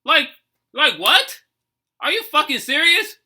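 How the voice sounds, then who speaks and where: An American man giving casual, unscripted reaction commentary, halting and emphatic, with some swearing. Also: very high-pitched, very animated, sharp tone. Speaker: reaction video host.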